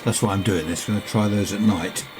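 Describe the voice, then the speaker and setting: A man's voice: on the low side, steady tone, fast talker, loud music behind the voice. A middle-aged man giving a casual, chatty, self-deprecating demonstration as a radio hobbyist, recorded on a camera's built-in microphone. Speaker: amateur radio hobbyist and host. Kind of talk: casual hands-on demonstration commentary. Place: home radio shack, indoors. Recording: camera microphone with hiss and background noise. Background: faint radio hiss and static.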